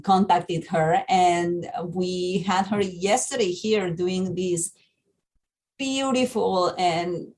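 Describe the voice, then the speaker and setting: A woman's voice: fairly low pitch, darkish tone. A woman from Argentina with an Argentine Spanish accent, a programming manager explaining a festival in an interview, with many fillers and restarts.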